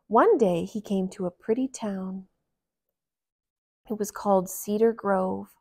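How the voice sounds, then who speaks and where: A woman reading a picture book aloud to young children in a gentle, deliberate manner. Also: mid-range voice, husky. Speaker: children's storybook reader.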